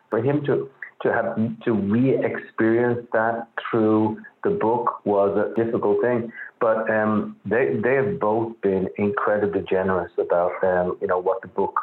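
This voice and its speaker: An Irish man, an author, answering interview questions earnestly and often repeating words as he searches for phrasing. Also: fairly low pitch. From Ireland. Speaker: author guest. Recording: echoey tinny phone-like line.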